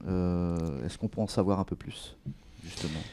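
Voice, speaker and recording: A man works as an interviewer on a broadcast. His voice sits fairly low and has a soft, mellow tone. He talks in an informal, conversational way as he puts questions to his guests. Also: steady tone, moderate pace. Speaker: interviewer, show host.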